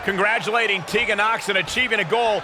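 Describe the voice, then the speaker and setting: A man playing an emphatic, excited play-by-play wrestling announcer in a video game. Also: sits high, fast, background noise, lightly acted. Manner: loud, emphatic. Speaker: commentator. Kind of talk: sports commentary in a video game. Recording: loud roaring arena crowd behind.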